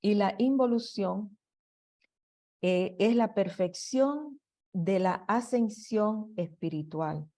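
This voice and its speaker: Slow, halting woman giving a lecture. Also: medium pitch, expressive, mellow. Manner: slow and halting, with restarts and fillers. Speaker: presenter. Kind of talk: slide presentation lecture.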